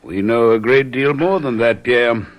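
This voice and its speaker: Man playing a shrewd gentleman detective, mid-pitched, measured, soothing, self-assured, classic radio drama delivery. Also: lightly acted. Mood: knowing. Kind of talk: radio mystery drama acting.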